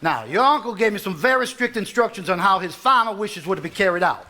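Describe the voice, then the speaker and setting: A stage actor playing a formal, orderly family attorney, making announcements on a live stage. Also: male voice, sits high, expressive, fast talker, sharp tone, slight background noise. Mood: businesslike. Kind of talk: live stage play acting. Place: theater stage before a live audience.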